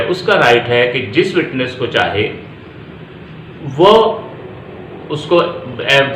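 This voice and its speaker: A man's voice: mid-range voice, fairly bright tone. A man with a Pakistani accent giving an explanatory law lecture, going step by step, repeating himself and mixing in English legal terms. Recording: echoey room with faint background noise.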